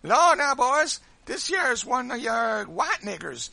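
Audiobook role: huge teasing trickster, high and forceful, voluble, broad drawling folk dialect. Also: male voice, big pitch swings, takes it slowly, gravelly, dry recording, full character voice. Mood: gleeful teasing. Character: big boisterous mocking man, voluble trickster. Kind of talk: audiobook character voice.

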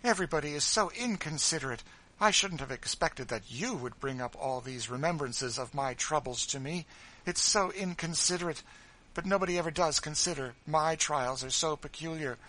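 A man's voice: expressive, normal speed, low-quality recording. A man voicing a petulant, self-pitying wealthy widow, speaking sharply in a raised voice. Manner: complaining, sharp, high and raised.

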